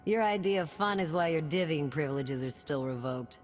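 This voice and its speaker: A woman's voice: low voice, animated, moderate pace, soft background music. An actress playing a sardonic, bossy female partner of a smuggler in a video game, dry and cutting. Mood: cutting, smug. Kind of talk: video game cutscene acting.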